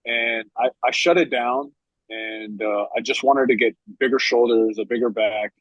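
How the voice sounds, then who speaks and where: A husky man in his late thirties, a competitive physique athlete, talking casually and rambling with lots of filler words. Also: mid-range voice, steady tone, normal speed, fairly bright tone, no room sound, muffled recording. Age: 30s. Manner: loose and rambling, heavy "like" fillers. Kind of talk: casual podcast chat.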